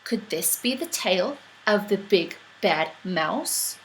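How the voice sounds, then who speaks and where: A woman reading a children's story aloud as an expressive narrator. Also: medium pitch, slow, slight background noise, rough recording. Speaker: storybook reader.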